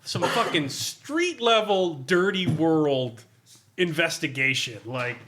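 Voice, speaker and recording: A man reviewing a role-playing book casually among friends in an animated, amused, sweary and sardonic way. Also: fairly high pitch, slow, background noise. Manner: animated, amused, often laughing while talking; profane, sardonic.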